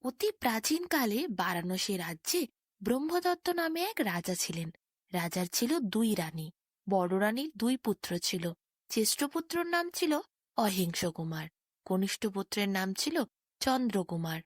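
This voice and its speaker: A woman's voice: mid-range voice, big pitch swings, a little slow, no echo, studio quality. A woman reading a scripted fairy tale as a storyteller.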